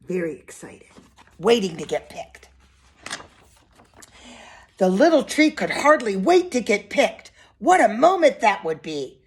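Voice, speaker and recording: A woman's voice: mid-range voice, very animated, measured pace, long pauses, a little mellow, slightly rough. A woman reading a picture book aloud expressively to young children, with simple asides and questions to her listeners.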